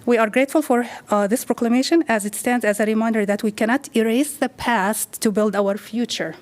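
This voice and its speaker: A woman reading a formal prepared statement at the podium of a city council chamber, with measured delivery. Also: on the high side, a little mellow. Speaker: community advocate.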